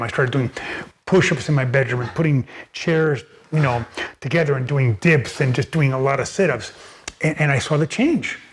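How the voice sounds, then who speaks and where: A man's voice: mid-range voice, breathy edge. An older man from Miami, speaking as an interview guest at home in his library, telling his life story casually with many fillers and repeated words. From Miami, United States.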